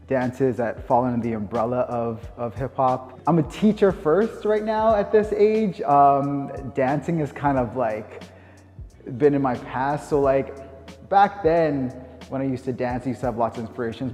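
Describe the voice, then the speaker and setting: A man in his thirties with a Canadian accent, speaking informally and reflectively as if answering interview questions, pausing and rephrasing as he goes. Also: medium pitch, a little mellow. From Winnipeg, Canada. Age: 30s. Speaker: dance teacher. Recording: long reverb, music underneath. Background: music.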